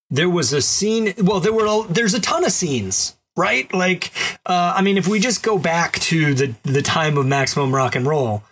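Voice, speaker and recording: A man from the Boston area co-hosting a podcast, talking in a relaxed, wry, questioning way. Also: sits high, measured pace, breathy edge. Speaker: podcast co-host. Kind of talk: casual chat.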